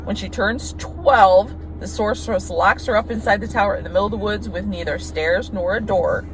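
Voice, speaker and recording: A woman's voice: medium pitch, normal speed, background noise. A middle-aged woman co-hosting, bemused and questioning as she chats casually and reads aloud. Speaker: co-host.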